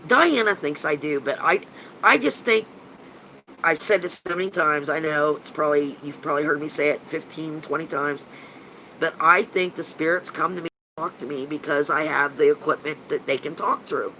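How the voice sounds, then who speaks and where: Stuffy-nosed man in his fifties, bright voice. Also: a little high, normal speed, a little hiss or hum, rough recording. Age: 50s. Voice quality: nasal. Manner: rambling and gushing, with frequent restarts and casual swearing. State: stuffy and nasal from a cold. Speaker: paranormal investigator hosting a live chat. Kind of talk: casual livestream chat answering viewers.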